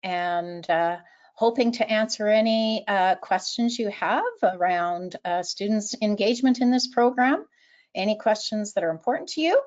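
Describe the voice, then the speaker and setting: A woman professor greets an online audience, speaking warmly with short hesitations. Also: mid-range voice, moderate pace. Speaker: professor on the admissions panel. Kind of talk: brief webinar greeting. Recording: dull lo-fi webinar audio.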